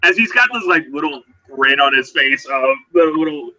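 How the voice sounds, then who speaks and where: A man improvises narration for a tabletop roleplaying session. His voice is very bright and forceful, and he keeps searching for words. The sound is a little dull and lo-fi. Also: fairly high pitch, animated, normal speed.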